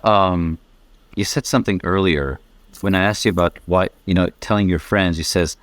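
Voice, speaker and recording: A man's voice: low voice, moderate pace, dry recording. A man hosting a podcast, asking earnest, encouraging interview questions with frequent affirmations. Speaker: podcast host.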